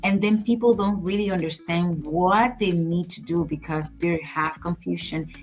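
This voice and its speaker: A woman co-hosting a podcast, talking in a measured, earnest, advice-giving way in casual conversation. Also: fairly low pitch. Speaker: podcast co-host.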